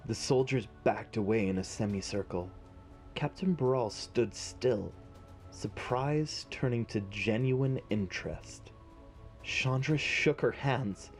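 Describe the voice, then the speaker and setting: A man narrating a scripted fantasy story in a measured, dramatic way. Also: mid-range voice, soft background music.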